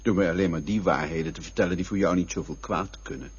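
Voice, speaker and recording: An actor playing a calm but needling male companion in a Dutch radio play, questioning and philosophical like a schoolmaster, in a very husky voice. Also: sits low, quick pace. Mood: probing, needling. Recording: dull, lo-fi radio play recording.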